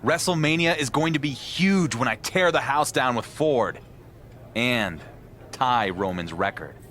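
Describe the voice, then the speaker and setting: An actor playing a confident, easygoing champion wrestler in conversational video game dialogue. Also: male voice, medium pitch, animated, measured pace, fairly bright tone, slight background noise. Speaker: pro wrestler.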